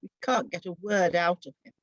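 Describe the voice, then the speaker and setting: A woman's voice: lowish voice, fairly even intonation, moderate pace, no room sound, close to an everyday voice. Frightened male fugitive, read by a woman, urgent and fearful, slightly muffled dramatic story recording. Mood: sad. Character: frightened, anxious man hiding from Roman soldiers.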